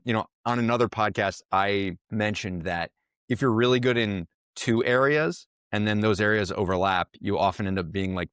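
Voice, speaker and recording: A man's voice: fairly low pitch, fairly bright tone, dry recording, studio quality. A man hosting a podcast interview, speaking in an enthusiastic, articulate way. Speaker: podcast host and investor.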